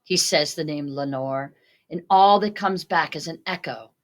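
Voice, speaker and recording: A woman's voice: low voice, a little slow. A woman narrating a story from a script, reading it aloud. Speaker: student reader.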